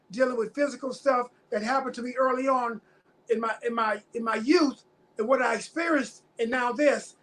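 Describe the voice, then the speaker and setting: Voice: mid-range voice, a bit bright, low-quality recording. A guest in their fifties giving personal testimony in a casual interview, speaking earnestly and repeating phrases for emphasis. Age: 50s.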